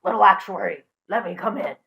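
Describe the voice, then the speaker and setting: A woman voicing a menacing wolf in a parody fairy tale, with loud, drawn-out threats. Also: medium pitch, gravelly, a little hiss or hum.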